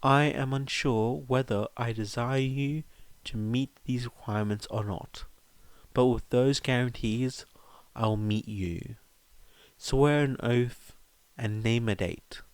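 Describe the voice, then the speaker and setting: A man's voice: medium pitch, clean tone, no echo, close to an everyday voice. Man reading as an anxious, formal young letter writer. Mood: solemn, nervous.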